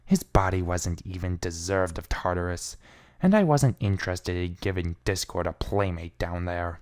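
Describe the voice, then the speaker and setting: A man's voice: sits low, animated, moderate pace, a little mellow. A man giving a brooding, theatrical first-person reading of a fan fiction story. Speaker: amateur fan fiction reader.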